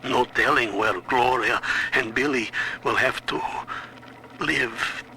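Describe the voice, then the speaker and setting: A man's voice: mid-range voice, slow, creaky, bright, forceful tone, slight background noise, heavily acted. A man playing an exhausted, hopeless prisoner in a video game audio log. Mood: hopeless, weary. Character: despairing, exhausted imprisoned family man.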